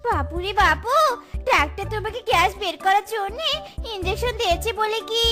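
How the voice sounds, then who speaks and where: Shrill, bossy cartoon wife. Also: female voice, moderate pace. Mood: nagging. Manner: shrill, nagging, commanding. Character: bossy, scolding young wife in a comic animal cartoon. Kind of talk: exaggerated Bengali cartoon voice acting. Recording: children's cartoon music behind the voice.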